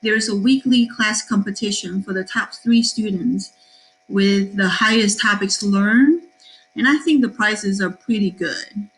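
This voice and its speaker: A middle-aged woman from Taiwan, a math teacher, giving a cheerful class introduction with frequent ums and pauses. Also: mid-range voice, poor audio. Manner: cheerful, with many fillers and pauses.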